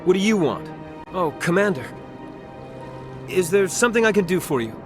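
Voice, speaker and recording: An actor voicing a nervous, awkward young soldier who stammers. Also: male voice, sits high, very animated, moderate pace. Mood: flustered. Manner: flustered, stammering. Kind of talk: video game voice acting. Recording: game audio over music.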